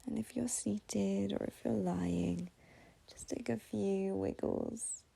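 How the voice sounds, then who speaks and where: A woman whispering a slow, soothing guided meditation as its teacher. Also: mid-range voice, vocal fry, mellow, slightly rough, low-quality recording.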